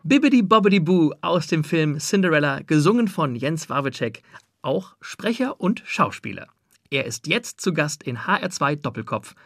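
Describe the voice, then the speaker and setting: A man's voice: fairly high pitch, sing-song, moderate pace. A man hosting a radio interview, presenting and asking in a prepared, structured way. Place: radio studio.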